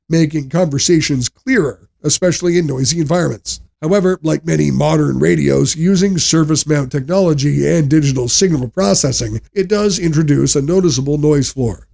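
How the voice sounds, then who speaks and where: A man reads a scripted product review in a measured, explanatory way. His voice sits a little high for a male narrator and carries a noticeable creak. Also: darkish tone.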